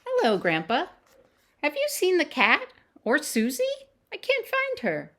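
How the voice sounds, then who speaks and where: An earnest young child from a picture book, voiced by a woman, speaks in a high, swooping lilt. Every line is very polite and carefully put, with questions that rise at the end. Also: huge pitch swings, unhurried, fairly bright tone, exaggerated voice. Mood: earnest, curious.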